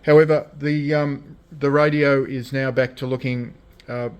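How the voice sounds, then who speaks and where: An Australian man giving a casual hands-on show-and-tell as a hobbyist restorer, speaking in halting, piecemeal phrases. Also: mid-range voice, level tone. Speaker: radio restorer presenting own collection. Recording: clear background noise, hobby video.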